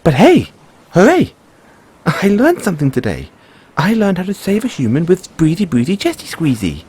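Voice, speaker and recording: A man's voice: a little high, huge pitch swings, normal speed, a little mellow, husky, exaggerated voice. Man acting a swooping, theatrical merman prince. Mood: cheerful, proud. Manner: theatrical, exclamatory, genteel and fussily polite. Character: young, cheery, dramatic, naively earnest merman prince of Atlantis. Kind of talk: audio roleplay addressed to the listener.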